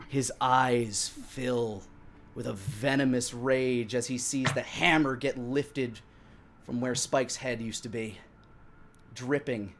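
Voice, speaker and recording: A man's voice: medium pitch, slight background noise. A man in his thirties running a tabletop game, narrating dramatically and theatrically as dungeon master. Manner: theatrical, with acted sound effects.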